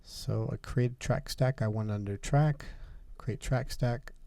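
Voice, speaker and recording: A man teaching music production in a methodical software tutorial, explaining each step as he goes. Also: mid-range voice, takes it slowly, smooth, no echo. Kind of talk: software tutorial, talking through steps.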